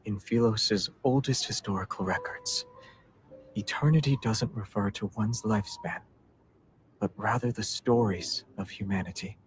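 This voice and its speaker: Low, gentle knightly lover, voiced by a man. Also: a little hiss or hum, lightly acted, rough recording. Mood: solemn, reflective. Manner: calm, tender, measured. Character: gentle, soft-spoken male knight and devoted lover. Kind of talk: English game voice acting, romantic. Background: music.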